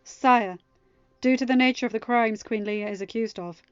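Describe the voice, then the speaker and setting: Storybook nobleman voiced by a woman, firm, formal, measured, faint music behind. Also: a little high, smooth, no room sound, lo-fi sound, close to an everyday voice. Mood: resolute. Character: formal, resolute male royal passing judgement.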